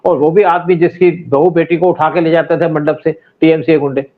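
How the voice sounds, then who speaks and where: A man's voice: fairly high pitch, fairly even intonation, moderate pace. Man hosting over a thin, phone-like line. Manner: conversational, mixes English words into Hindi. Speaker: interview host. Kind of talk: informal political interview. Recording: narrow, phone-like call audio.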